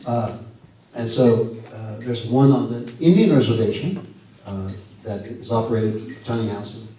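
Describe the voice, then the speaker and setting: A man with a scratchy voice giving a folksy, earnest talk at a church and answering questions, halting often with fillers and restarts. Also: mid-range voice, warm tone. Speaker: guest speaker. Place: church sanctuary. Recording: echoey hall from far off.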